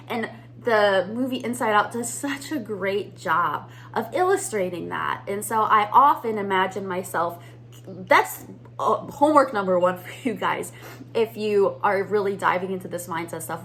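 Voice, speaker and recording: A woman's voice: mid-range voice, fairly bright tone, a little hiss or hum. A woman coaching business owners on mindset, talking to camera in an upbeat, conversational way. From United States. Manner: upbeat, conversational, addresses viewers as "you guys". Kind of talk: teaching to camera.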